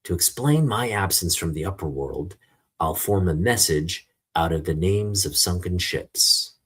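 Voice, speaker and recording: A resolute male superhero from a comic book, read out by a man. He explains himself in solemn, deliberate statements. The voice is low and husky. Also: lively intonation, a bit bright, heavily acted.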